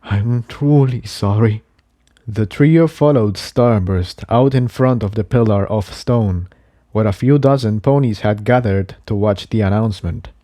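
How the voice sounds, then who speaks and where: A man narrating a scripted adventure story in a measured, dramatic storytelling manner. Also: fairly low pitch, dark tone, no echo, clean studio sound. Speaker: narrator, fan fiction reader.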